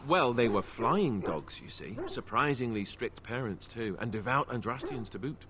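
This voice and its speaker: A man's voice: medium pitch, expressive, moderate pace, background noise, lightly acted. An actor playing a wry young male knight who jokes and banters in a video game. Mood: joking. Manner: joking, self-deprecating banter.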